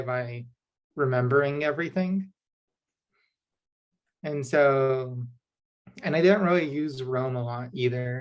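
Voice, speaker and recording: A young woman, a design student, introducing herself on a video call in a rambling, self-correcting way. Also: a bass voice.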